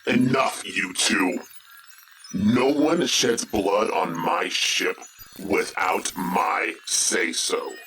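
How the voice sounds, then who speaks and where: An actor playing a proud, stern mercenary count commanding a warship in an audio drama, authoritative and menacing. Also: male voice, deep voice, drawn-out words, bright, forceful tone, heavily acted. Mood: stern, demanding. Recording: faintly noisy recording.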